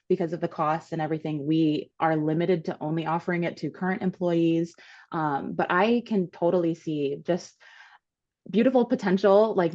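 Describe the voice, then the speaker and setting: A woman's voice: low-pitched, darkish tone, lo-fi sound. A woman from Hawaii asking an earnest, rambling question on a video call. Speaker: meeting participant.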